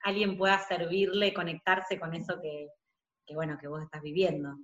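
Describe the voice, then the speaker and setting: A woman's voice: on the low side, little pitch movement, normal speed, muffled recording. A woman with an Argentine accent interviewing casually, phrasing things in a hesitant, roundabout way, in a roomy recording. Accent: Argentine Spanish. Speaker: podcast interviewer. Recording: echoey, roomy sound.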